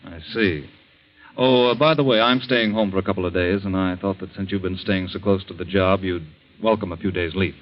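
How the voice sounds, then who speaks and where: An actor playing a controlled, authoritative husband in a 1940s radio drama, speaking firmly and huskily on a muffled old recording. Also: male voice, low-pitched, steady tone, brisk, slight background noise, close to an everyday voice. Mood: firm, insistent.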